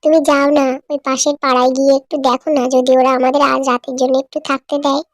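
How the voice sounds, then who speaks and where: Woman doing a high, fretful cartoon village wife, caring, dry close sound. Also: little pitch movement, fast, no echo, lightly acted. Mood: pleading.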